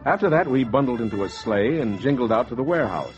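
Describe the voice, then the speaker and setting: Actor as animated noir schemer, vintage radio. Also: male voice, mid-range voice, quick pace, soft background music, natural voice. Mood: smug. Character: greedy, cynical male schemer telling his story. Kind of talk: 1940s noir radio narration and acting. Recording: dull vintage 1940s radio recording.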